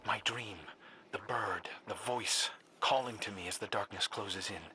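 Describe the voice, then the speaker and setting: An actor voicing a small, earnest robotic companion in a video game, reverent and sure of its purpose. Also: male voice, lowish voice, a little slow, background noise, lightly acted. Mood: earnest, awed. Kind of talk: cinematic video game dialogue.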